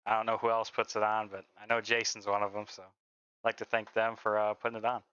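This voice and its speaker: A man, a sim racing driver, talking casually and haltingly about his race over voice chat. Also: on the low side, clean tone, dry recording. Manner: relaxed, halting, lots of "like" and "uh". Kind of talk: casual post-race interview. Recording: dry, dull voice-chat audio.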